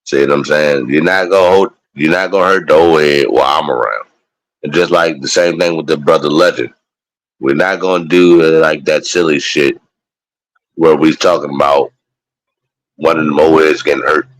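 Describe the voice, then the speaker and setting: A man's voice: extremely low pitch, steady tone, moderate pace, rough recording. A man, chatting loosely and profanely as a guest on a live stream. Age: 40s. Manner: loose, profane street talk. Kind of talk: casual chat.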